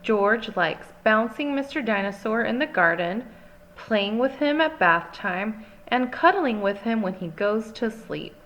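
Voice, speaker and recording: A woman's voice: fairly high pitch, lively intonation, measured pace, fairly bright tone, rough recording. A woman reading a children's storybook aloud, expressively and carefully phrased for small children. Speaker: storybook reader. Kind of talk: scripted children's read-aloud.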